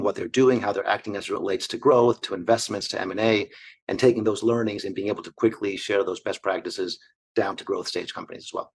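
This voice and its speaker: A man in his forties from Argentina, answering interview questions as an investor in long, measured, structured lists. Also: on the low side, a little hiss or hum, poor audio. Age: 40s. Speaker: investor guest.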